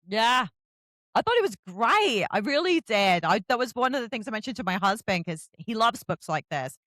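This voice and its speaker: A woman's voice: fairly high pitch, big pitch swings, normal speed, fairly bright tone, no room sound, studio quality. A woman hosting a casual book interview, speaking in an effusive, emphatic way.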